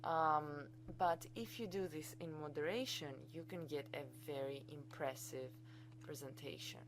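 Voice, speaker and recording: Low-voiced Greek-accented woman teaching keenly. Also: unhurried, noisy background. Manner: enthusiastic, chatty and informal. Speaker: college instructor. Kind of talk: screencast software tutorial.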